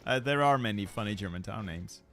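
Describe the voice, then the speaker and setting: A man's voice: lowish voice, lively intonation, brisk, a bit bright, soft background music. A man hosting a gaming channel, giving wry, playful live commentary on a strategy game, his voice slightly worn. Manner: wry, playful, jokey asides.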